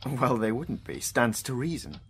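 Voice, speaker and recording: An actor playing a dead wartime mathematician in a radio drama, a wry, wounded intellectual who swings from hushed to outburst. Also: male voice, mid-range voice, sing-song, measured pace, a little hiss or hum. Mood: questioning.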